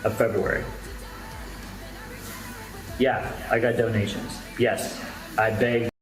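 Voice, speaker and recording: A man's voice: fairly low pitch, little pitch movement, slow, soft background music. A man speaking candidly to his viewers about himself in a personal streamer monologue. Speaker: YouTuber streamer. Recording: loud-enough roomy echo with background noise.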